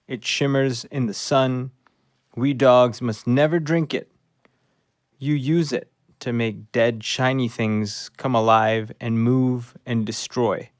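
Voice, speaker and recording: A man's voice: mid-range voice, dry recording, studio quality. An actor playing a small, earnest, devoted rescue dog who tells his story wistfully in an audio play.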